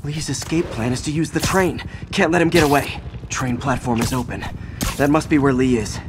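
A man's voice: mid-range voice, normal speed, very noisy, natural voice. An actor playing a young, earnest, wisecracking male superhero in a video game, chatty and quippy. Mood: focused. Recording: loud music behind the voice. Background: loud game score and combat sounds.

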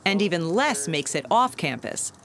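Lively woman narrating a TV segment. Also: fairly low pitch, moderate pace, noisy background. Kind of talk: scripted TV narration.

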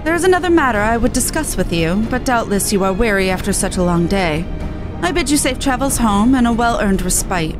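Woman playing an earnest teenage artisan, high voice, formal archaic speech, fantasy game, music behind. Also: animated, brisk, lightly acted, low-quality recording. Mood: formal gratitude. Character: earnest, formal seventeen-year-old youth, a fantasy artisan. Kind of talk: video game dialogue read aloud.

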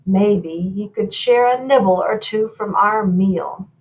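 A woman's voice: mid-range voice, slow pace, muffled recording, lightly acted. A woman voicing a mild, hapless king in a storybook read-aloud, earnest and slightly pompous. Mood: reasoning.

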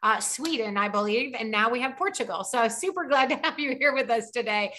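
Middle aged lady host on a video call, super enthusiastic, kinda high voice. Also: lively intonation, a little fast, pushed and bright. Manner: bubbly and enthusiastic. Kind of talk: upbeat interview hosting.

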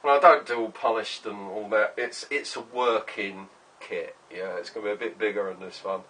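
A middle-aged man with a husky voice, chatting casually and rambling in a workshop vlog, often sweary. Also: lowish voice, fairly even intonation, normal speed, a bit bright, a little hiss or hum. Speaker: vlogger, workshop owner. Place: small cluttered workshop, indoors.

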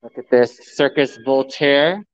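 Excited man touring a pinball showroom. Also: mid-range voice, measured pace, no room sound, dull audio. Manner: excited, enthusiastic, addressing viewers. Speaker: vlogger, live streamer. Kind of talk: live walkthrough commentary. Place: large indoor arcade showroom. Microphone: phone camera.

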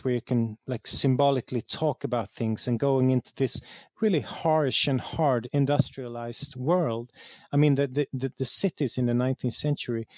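Swedish guy getting interviewed about his game design work, thinks out loud, repeats words, pretty dry sound. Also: mid-range voice, no echo. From Sweden. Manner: halting, searching for words, repeating himself. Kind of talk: reflective interview talk.

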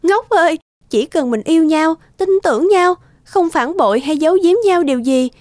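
A woman voicing a gentle, devoted young girlfriend in an animated romantic drama. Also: sits high, moderate pace, no echo. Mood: tender.

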